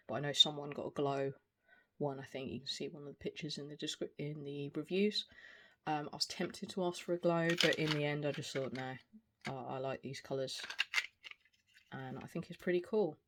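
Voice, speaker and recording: A person in their forties giving a casual, unscripted product review in a hesitant, rambling way. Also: mid-range voice. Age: 40s. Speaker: video reviewer. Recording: clear background noise, small clicks.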